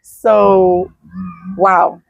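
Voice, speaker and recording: A woman's voice: medium pitch, flat delivery, extremely slow, gravelly, no echo, highs cut off. A woman with a Nigerian accent hosts an interview in a mid-range voice. She keeps backing up her guest with murmurs of agreement. The recording is close and dry. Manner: affirming, frequent murmured agreement. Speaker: podcast host. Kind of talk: conversational interview.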